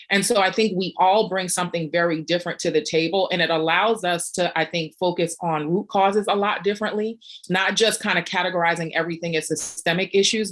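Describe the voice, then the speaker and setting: A woman speaking on a panel as a community organizer, earnest and reflective, pausing with frequent fillers. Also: fairly low pitch, fairly bright tone. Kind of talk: panel discussion.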